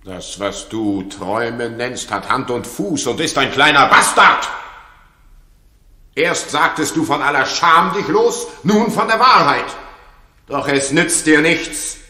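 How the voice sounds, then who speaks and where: A man's voice: a little high, expressive, slow pace, bright, forceful tone, heavily acted. An actor playing a wrathful, jealous king with imperious, declamatory delivery in a 1960s German radio drama. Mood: accusing, furious. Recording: echoey, reverberant 1960s radio studio recording.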